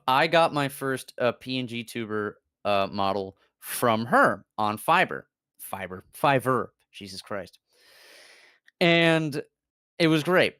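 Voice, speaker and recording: A man chatting casually on a stream, animated and swearing freely, with lots of fillers and laughter. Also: mid-range voice, smooth, dry recording, clean studio sound. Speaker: VTuber guest.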